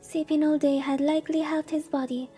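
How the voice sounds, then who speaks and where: A woman's voice: sits high, little pitch movement, brisk, dark tone, soft background music. A woman streamer reading a visual novel aloud in a soothing way, with cutesy, gushing chatty asides. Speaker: VTuber streamer.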